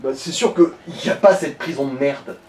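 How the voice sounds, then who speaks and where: A man's voice: a little high, moderate pace, darkish tone, distant mic. A man chatting casually during a video game, offering tips in a wry, crude, teasing way. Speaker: co-player giving advice. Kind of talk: casual chat during gameplay. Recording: echoey room, off mic, background noise.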